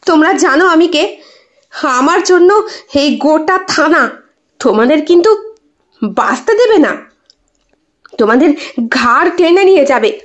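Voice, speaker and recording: A woman's voice: high-pitched, animated, measured pace, pauses often, highs cut off. A woman voicing a defiant captive policewoman who speaks with commanding threat in an audio story.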